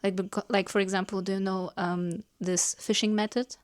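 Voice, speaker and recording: A woman talks in a relaxed way with friends. She is reassuring and chatty, and she explains at length with plenty of "like" fillers and restarts. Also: mid-range voice, normal speed, slight background noise. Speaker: friend giving feedback. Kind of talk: casual chat among friends.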